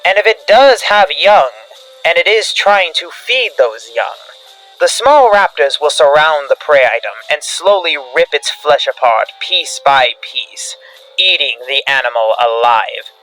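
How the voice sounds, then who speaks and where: Bright-voiced man narrating grave horror briefing. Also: a little high, animated, unhurried, poor audio. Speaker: narrator of a fictional creature field briefing. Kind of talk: scripted analog horror narration. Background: background music.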